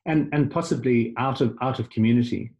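A middle-aged man from Zimbabwe, a life coach guest on a video call, speaking thoughtfully and haltingly. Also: medium pitch, a little mellow, lo-fi sound. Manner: halting, many fillers and restarts, thoughtful. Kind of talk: casual video call conversation.

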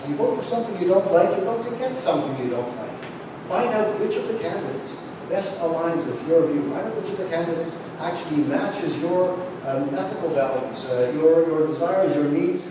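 A middle-aged man, a political candidate, giving an earnest closing statement at a debate into a handheld mic in a large banquet hall. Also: fairly high pitch. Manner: earnest, urging, repeats phrases. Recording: echoey hall from far off, background noise.

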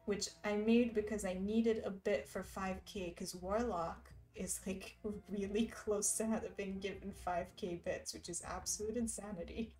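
A woman's voice: mid-range voice, fairly even intonation, a little slow, few pauses, warm tone, soft background music. Giggly young woman streamer chatting. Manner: reads chat messages aloud, chatty and giggly. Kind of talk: casual live-stream chat while embroidering. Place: indoor room.

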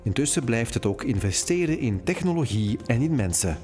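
Male narrator, mid pitch, animated promo read, faint music bed. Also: moderate pace. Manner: clear, promotional reading. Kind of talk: scripted corporate narration.

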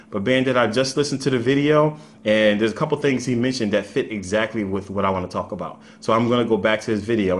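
Man giving casual unscripted commentary. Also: lowish voice. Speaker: video commentator.

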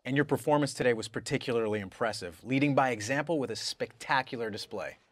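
An actor playing a brisk, polite sports interviewer in a video game post-match interview. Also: male voice, medium pitch, a little hiss or hum, close to an everyday voice. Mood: polite, congratulatory.

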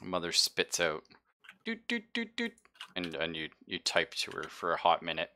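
Bright-voiced man running a tabletop game. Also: low-pitched, huge pitch swings, moderate pace, a little hiss or hum. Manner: informal, sweary. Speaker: game master. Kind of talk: casual narration of a tabletop roleplaying game. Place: online game session, streamed.

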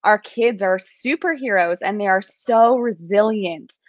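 A woman's voice: mid-range voice, measured pace, no room sound. A woman in her thirties hosting a casual chat in an upbeat, smiling way. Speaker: host. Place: indoor room at home. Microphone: video call microphone. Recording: slightly dull video call audio.

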